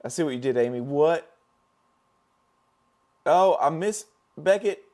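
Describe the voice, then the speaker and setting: Hoarse middle-aged man chatting casually. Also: a little high, animated, a little slow, darkish tone. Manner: rambling, many fillers and restarts, chatting with viewers. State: hoarse, losing his voice. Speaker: dental lab technician hosting a live stream. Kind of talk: casual live-stream chat while working.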